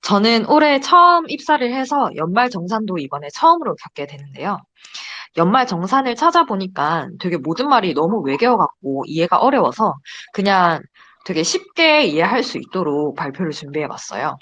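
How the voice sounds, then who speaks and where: A woman's voice: mid-range voice, big pitch swings, normal speed, a bit bright, dull audio. A young woman in her twenties giving a casual, chatty slide presentation to a study group. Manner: chatty, giggles between points.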